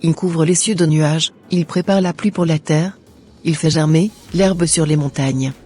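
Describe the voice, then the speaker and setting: Mellow husky woman reading scripture. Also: sits low, fairly even intonation, faint music behind. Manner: measured, devout.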